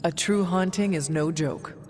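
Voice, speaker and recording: An actress playing a stoic resurrected warrior woman in a video game, speaking formally and with measured care. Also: lowish voice, darkish tone, faint music behind, close to an everyday voice. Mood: calm, reserved. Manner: formal, measured, avoids contractions. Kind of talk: video game cutscene acting.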